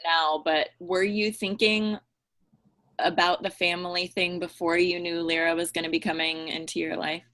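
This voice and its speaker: Female, lowish voice, dry close recording, informal conversational interviewer. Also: flat delivery, moderate pace, a bit bright, no room sound. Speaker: podcast participant asking questions.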